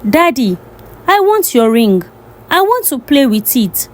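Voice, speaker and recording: A woman's voice: sits high, very animated, a little slow. A woman storyteller voicing a spoiled, demanding five-year-old princess in a folktale.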